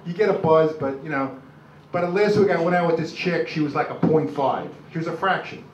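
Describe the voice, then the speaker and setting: A dull, slightly noisy live recording, captured at a distance, of an older male stand-up comedian. He has a New York accent and rambles through crude material in a halting, self-deprecating way. The room rings noticeably around his voice. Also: fairly high pitch. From Brooklyn, New York, USA. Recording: dull, noisy, echoey club recording from afar.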